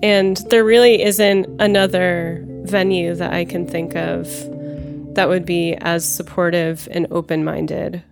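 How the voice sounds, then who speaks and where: Woman talking real slow and thoughtful, gravelly, music behind her. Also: fairly low pitch, flat delivery. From Los Angeles. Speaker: guest, musician. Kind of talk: interview talk.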